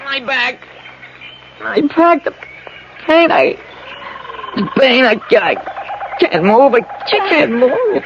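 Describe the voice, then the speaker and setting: A man's voice: extremely high pitch, big pitch swings, slow pace, gravelly, pauses often, a crowd in the background, full character voice. An actor playing an anxious, complaining man in a radio mystery drama, stammering and groaning as he speaks. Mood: in agony. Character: anxious, complaining man in agony, trapped in eerie garden. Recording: muffled, noisy old radio broadcast.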